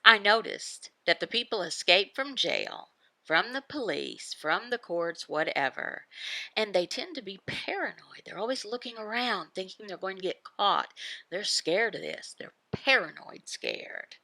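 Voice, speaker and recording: A woman giving a devotional Bible teaching in short, halting phrases, often asking questions and answering them herself. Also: mid-range voice, big pitch swings, vocal fry, pushed and bright, dry recording, rough recording. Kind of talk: devotional teaching voicing a signed sermon.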